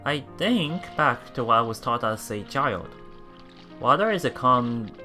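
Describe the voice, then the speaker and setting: An excitable game streamer who reads story text aloud and reacts casually, often repeating words and phrases. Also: mid-range voice, a little slow, faint music behind. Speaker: let's play streamer.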